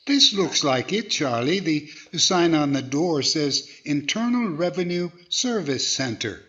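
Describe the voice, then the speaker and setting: A man's voice: a little high, sing-song, unhurried, bright, forceful tone, lo-fi sound, full character voice. A man playing a polite, slightly hesitant straight man in a 1940s-style radio comedy. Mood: reassuring. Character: mild, placating straight-man ventriloquist, adult male employer.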